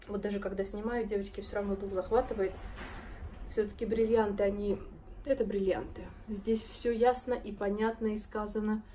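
A woman in her thirties speaks close to the camera with a hoarse voice from a cold. Her tone is warm and mellow, and she keeps admiring the pieces as she calls her viewers friends. A faint shop hum sits behind her. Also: medium pitch. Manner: admiring, chatty, addresses viewers as girls and friends. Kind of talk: casual sales presentation to camera. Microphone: phone or camera close up, front-facing.

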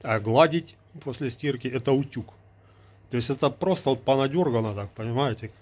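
A man's voice: mid-range voice, brisk, breathy edge. A man in his forties from Ukraine, talking to a phone camera at arm's length in a small indoor room, arguing with a sarcastic, lecturing manner. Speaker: vlogger. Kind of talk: casual talk to camera. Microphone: earbud mic, phone at arm's length.

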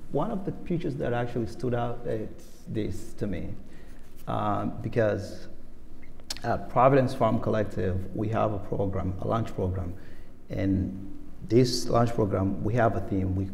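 A man talks on a panel, with a gravelly edge to his mid-range voice. He tells stories unhurriedly and laughs as he goes. His voice carries a long echo through a large auditorium, with a faint hum of the room behind it. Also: fairly low pitch, darkish tone. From Somalia. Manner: storytelling, unhurried, laughs while telling. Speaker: panelist, farm collective member. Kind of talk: onstage panel conversation. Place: museum auditorium stage, indoors, large. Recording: echoey auditorium with faint ambience.

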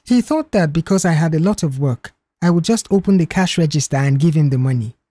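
A man's voice: fairly high pitch, expressive, brisk, mellow, no room sound. A man voicing a young female office cashier in an audiobook, defensive and explanatory in manner. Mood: indignant. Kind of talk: audiobook character dialogue.

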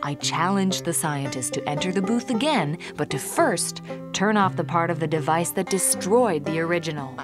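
An actress playing a prim, argumentative woman who tells her own story in wry, measured cartoon narration. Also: fairly low pitch, lively intonation, close to an everyday voice. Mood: wry, assertive. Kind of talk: scripted first-person cartoon narration. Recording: music under the voice.

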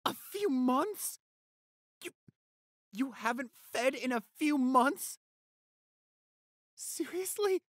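Man acting devoted young boyfriend, slow, halting delivery. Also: very high voice, lively intonation, no room sound, clean studio sound, heavily acted. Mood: dismayed. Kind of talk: boyfriend roleplay audio acting.

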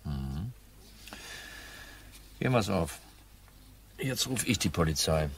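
An actor playing a tough, wry detective, terse and sardonic, in a hardboiled crime radio play. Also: male voice, low voice, very animated, takes it slowly, a little hiss or hum, exaggerated voice. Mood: menacing, mocking.

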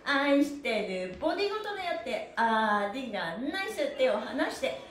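A middle-aged woman hosting a livestream stretching class, chatty and encouraging, with an energetic, sing-song way of instructing. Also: high-pitched, moderate pace. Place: small bare-walled indoor studio room. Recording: roomy echo, mic a little away.

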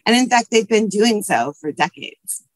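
A woman's voice: mid-range voice, animated, moderate pace, no echo. Scratchy-voiced woman on a video call. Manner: earnest, with frequent um and uh fillers. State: scratchy voice from allergies. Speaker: history professor and panelist. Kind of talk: prepared remarks on a video call. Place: office with bookshelves. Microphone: webcam video call.

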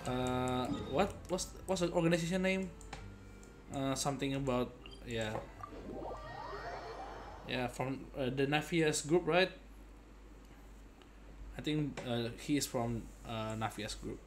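A man's voice: mid-range voice, slow pace, long pauses, noisy background. A young man giving casual, jokey playthrough commentary, often hesitating and repeating himself. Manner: hesitant, repetitive, jokey asides. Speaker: game streamer. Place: indoor desk, game music behind.

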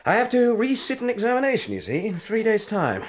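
An actor playing a flippant, hard-drinking young Victorian medical student in a radio drama, theatrical and mocking. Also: male voice, sits high, wildly swooping pitch, brisk. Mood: flippant, cocky. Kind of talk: period radio drama acting.

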